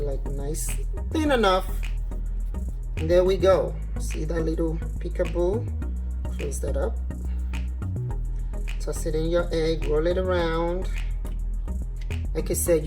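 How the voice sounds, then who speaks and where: A woman hosting a casual cooking demonstration, encouraging and instructional, often repeating herself. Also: deep voice. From Nigeria. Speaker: cooking channel host. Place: indoor kitchen, at a counter. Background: music.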